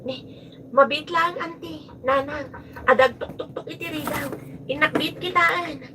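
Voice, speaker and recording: A woman's voice: high-pitched, fairly even intonation, unhurried, background noise. A woman playing a polite, hospitable young niece in a radio drama, speaking with an Ilocano accent. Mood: reassuring.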